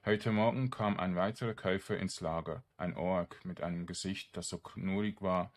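A man's voice: sits low, steady tone, moderate pace. A man streaming a game, commenting informally to himself and his viewers and reading out game text. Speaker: gaming streamer, let's player. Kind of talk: casual game commentary with some read-aloud game text.

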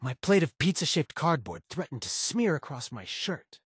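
A man playing a cynical, anxious high school boy, narrating a visual novel in a deadpan, grumbling way. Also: normal speed, slightly rough, no echo, clean studio sound, exaggerated voice. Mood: anxious, sardonic. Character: cynical, anxious, foul-mouthed male high school student. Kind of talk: first-person visual novel narration and dialogue.

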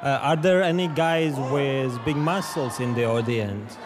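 A young man from Latvia with a Latvian accent, talking in a hesitant, awkward deadpan as an amateur comedian. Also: medium pitch, unhurried. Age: young adult. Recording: loud music and crowd noise behind.

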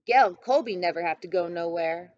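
A woman's voice: on the low side, fairly quick, darkish tone, dull audio, lightly acted. A woman reading the part of a curious, complaining little boy in a children's storybook. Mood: wistful, complaining. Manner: plaintive, questioning.

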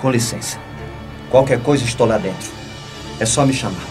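An actor playing a calm, fatherly priest in a Brazilian radio soap opera, gentle and measured. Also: medium pitch, natural voice. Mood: calm, cautious. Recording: clear music under the voice.

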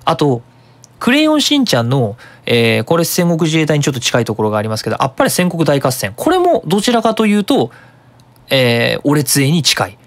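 Web radio host, casual monologue, explanatory, animated, widely varied pitch. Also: fairly quick.

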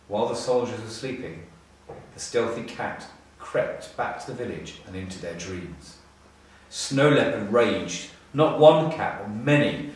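Heard from some distance in a very reverberant room, a middle-aged man reads a storybook aloud. His voice sits a little low. He phrases the scripted text expressively, with measured pauses. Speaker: storybook reader, school staff. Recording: echoey room, distant mic.

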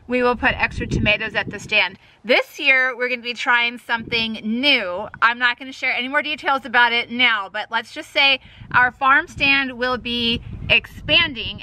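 A woman's voice: fairly high pitch, background noise. A woman in her thirties chatting upbeat in a casual vlog, filmed outdoors at a rural farm stand on a phone held at arm's length. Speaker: homesteading vlogger.

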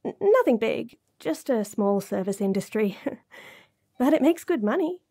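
Female actor as smitten, flustered young bunny girl, romantic roleplay. Warm, relaxed, slightly high voice; stammering, bashful rambling. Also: normal speed. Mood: shy, eager. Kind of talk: romantic audio roleplay acting.

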